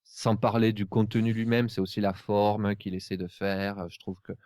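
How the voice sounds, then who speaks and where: A man hosting a casual podcast chat, speaking in halting, hesitant phrases. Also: on the low side, little pitch movement, a little mellow, dry recording, studio quality. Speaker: podcast host.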